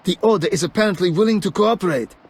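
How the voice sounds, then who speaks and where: A high, raspy adventuring companion. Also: male voice, quick pace. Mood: brisk, chatty. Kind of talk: video game voice acting.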